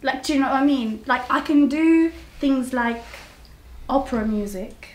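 A woman's voice: high-pitched, moderate pace. A young woman chatting with her brother in a bedroom, giggly and chatty, filmed by a camera a few feet away. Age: young adult. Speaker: sister of the host, guest. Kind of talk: casual sibling banter. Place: bedroom, indoors. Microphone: camera on a tripod, a few feet away. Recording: echoey bedroom, faint hiss.

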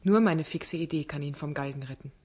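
An actress playing a stubborn young defence barrister, insistent and businesslike, in a radio drama. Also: female voice, low-pitched, a little fast, darkish tone. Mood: determined.

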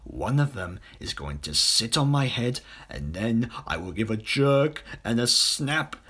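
A man's voice: mid-range voice, sing-song, a little slow, bright, forceful tone, breathy edge, exaggerated voice. A man voicing a sly, greedy enormous crocodile in a children's storybook, muttering his schemes to himself. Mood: hungry gloating.

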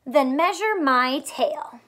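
A woman's voice: high-pitched, animated, takes it slowly, fairly bright tone, exaggerated voice. A woman voicing a curious, demanding robin in a storybook, drawing out the words.

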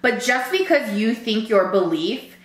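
A young woman talks with a lively voice that swings up and down in pitch, emphatic and quick to laugh. She chats casually at a table, and her voice carries through an echoey room. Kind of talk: casual chat while eating. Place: bright room indoors at a table.

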